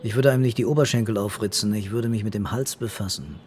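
A brooding detective in a radio play voiced by a man, puzzling things out in a lowish voice over faint ambience. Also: fast talker, darkish tone, slight background noise, lightly acted. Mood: puzzled, brooding. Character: brooding, puzzled male police commissioner. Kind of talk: German radio drama acting.

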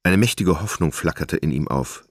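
A man narrating a literary radio drama in German, in a measured, solemn manner. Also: a bass voice, expressive, no echo, clean studio sound.

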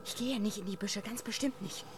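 A woman's voice: medium pitch, brisk, lightly acted. An actress playing an anxious, reluctant young woman in the woods at night in a radio drama, colloquial and protesting. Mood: anxious, stubborn. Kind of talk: naturalistic radio drama acting. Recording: noisy outdoor ambience.

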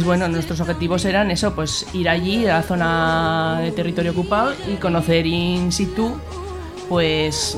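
Hesitant woman guest on radio. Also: fairly low pitch. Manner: hesitant, many filler words. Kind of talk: radio interview.

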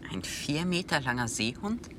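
An actor playing a hesitant, soft-hearted young expedition crewman in a radio play. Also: on the high side, sing-song, moderate pace, background noise. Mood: curious. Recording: clear ambience effects behind.

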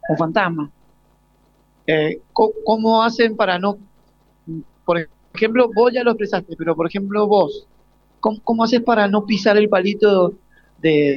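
A man's voice: high-pitched, no room sound. A man in his forties with an Argentine accent, interviewing casually and often stopping to rephrase his questions. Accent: Argentine Spanish. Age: 40s. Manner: halting, rephrases questions repeatedly. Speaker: radio interviewer. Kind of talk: casual live interview with students. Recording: dull lo-fi live broadcast sound.

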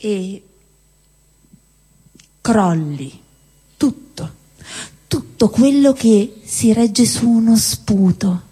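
A woman performing a theatrical spoken-word monologue on stage, declaiming with deliberate phrasing and dramatic pauses. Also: fairly high pitch, animated, dark tone, slightly rough. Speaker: stage performer at a live show. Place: indoor cinema-theatre venue with long reverb. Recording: reverberant hall, live stage performance.

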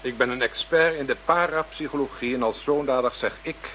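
An actor playing a self-assured, detached parapsychology expert in a Dutch radio drama, with a precise, lecturing manner. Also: male voice, medium pitch, fairly quick, natural voice. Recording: muffled, noisy old radio drama recording.

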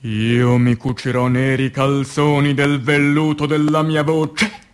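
A man reciting poetry as radio theatre in speech, declamatory, with dramatic pauses, moving between hushed and emphatic delivery. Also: a little high. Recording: music under the voice. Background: music.

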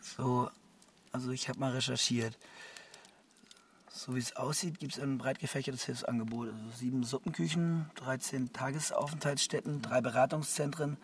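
A man's voice: mid-range voice, fairly even intonation, moderate pace, slight background noise, lightly acted. An actor playing an earnest, conscientious, slightly touchy middle-aged man in a naturalistic radio drama, methodical in manner.

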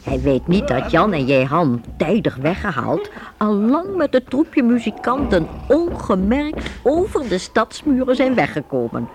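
A woman's voice: mid-range voice, very animated, unhurried. Woman narrating a radio play.

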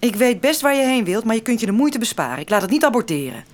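A woman playing a tender yet resolute young lover in a radio drama, with an earnest, expressive delivery. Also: fairly high pitch, fast. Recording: faint background ambience.

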